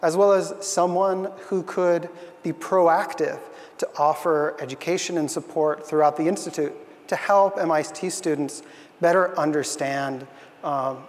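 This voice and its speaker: A man's voice: on the high side, fairly even intonation, measured pace, long reverb tail. A young man giving an earnest, thoughtful conference talk on a stage, with frequent fillers. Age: young adult. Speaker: conference speaker. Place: stage in a large hall.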